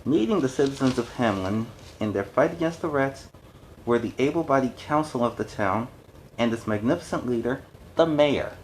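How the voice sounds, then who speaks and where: A man's voice: medium pitch, animated, moderate pace, slight background noise, rough recording. Male storyteller with conversational asides. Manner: conversational, explanatory. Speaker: storyteller, host. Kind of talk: storybook reading with casual asides.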